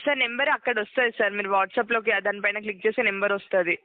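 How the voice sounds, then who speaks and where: Female phone support agent, rapid speech, dry thin telephone sound, instructive, repetitive step-by-step guidance. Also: mid-range voice, fairly even intonation, no room sound. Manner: instructive, repeats herself, checks understanding. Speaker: customer care agent. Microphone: telephone call. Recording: narrow, tinny phone line.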